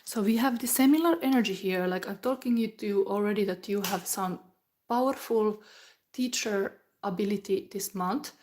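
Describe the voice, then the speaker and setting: A middle-aged woman from Finland, giving a live tarot reading in a slow, chatty way that often drops into a whisper. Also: mid-range voice, darkish tone. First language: Finnish. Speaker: tarot reader.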